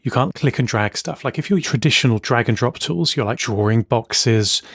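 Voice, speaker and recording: A man co-hosting a casual podcast, explaining his tools with enthusiasm and quick asides. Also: mid-range voice, lively intonation, gravelly, a bit bright, breathy edge, no room sound, clean studio sound. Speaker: podcast co-host. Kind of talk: casual chat.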